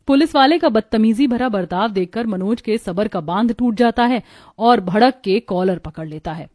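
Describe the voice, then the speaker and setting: Mellow female story narrator. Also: mid-range voice, lively intonation, moderate pace, no room sound, studio quality. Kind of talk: scripted cartoon story narration.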